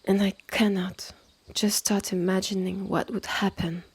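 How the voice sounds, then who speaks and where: A woman with a French accent playing a haughty, territorial witch's sister in a roleplay audio drama, talking in a measured, condescending way with breathy drops. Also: medium pitch, little pitch movement. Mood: proud, ominous. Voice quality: husky, breathy. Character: haughty, territorial witch's sister running a secretive woodland hotel.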